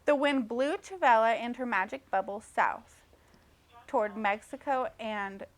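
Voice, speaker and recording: A woman teacher reading a storybook aloud expressively to children, pausing to check in with simple questions. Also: on the high side.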